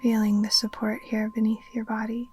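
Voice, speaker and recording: A woman gently guiding a relaxation meditation in a soft, breathy, near-whispered voice, soothing and unhurried. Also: fairly high pitch, little pitch movement, a little hiss or hum. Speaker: yoga nidra teacher. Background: occasional chimes.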